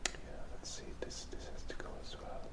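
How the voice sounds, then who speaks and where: Whispered voice, ASMR host, casual drawing commentary, gentle, rambling, reassuring. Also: normal speed. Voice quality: whispering, unvoiced. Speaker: host narrating a drawing session.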